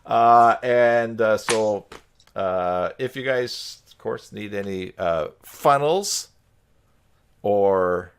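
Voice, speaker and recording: A man's voice: fairly low pitch, very slow, a little hiss or hum. A man hosting an informal live group video chat, talking in a casual, rambling way. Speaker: live stream host.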